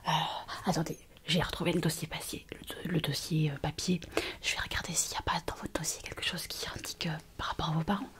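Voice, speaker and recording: A woman's voice: deep voice, level tone, fast, hardly any pauses, slightly rough, full character voice. Woman playing a whispering, hesitant medical assistant. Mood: curious, concerned. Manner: hesitant, soft-spoken, inquisitive. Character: attentive, questioning female medical colleague assisting the doctor. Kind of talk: whispered French ASMR medical roleplay.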